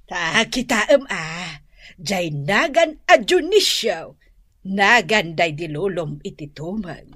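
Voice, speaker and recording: A woman's voice: on the low side, expressive, fairly bright tone, husky, dry recording, full character voice. An actress playing a doting, bossy, sentimental older grandmother in a comedic radio drama, with an Ilocano accent and wailing exclamations. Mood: doting, delighted. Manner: melodramatic, wailing exclamations and drawn-out cries.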